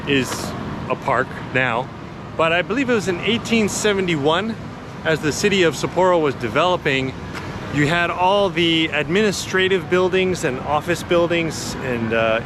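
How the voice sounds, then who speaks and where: A man hosting a casual walking tour, chatting and explaining in an informal, laid-back way while recording outdoors on city streets. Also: a little high. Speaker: vlog host and walking tour guide. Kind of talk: casual walk-and-talk narration. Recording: outdoor street noise, passing traffic. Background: city ambience and cars.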